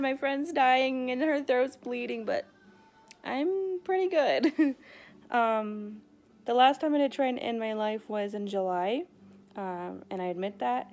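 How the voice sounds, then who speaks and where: A woman's voice: fairly high pitch, darkish tone. A woman talking candidly and casually in a rambling personal vlog, retelling events and conversations as she goes. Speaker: vlogger.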